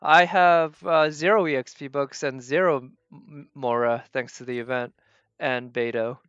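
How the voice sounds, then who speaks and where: A woman giving casual livestream game commentary, thinking aloud in halting fragments as she plays. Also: a bass voice, fairly even intonation, clean tone, no echo, highs cut off. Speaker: game streamer.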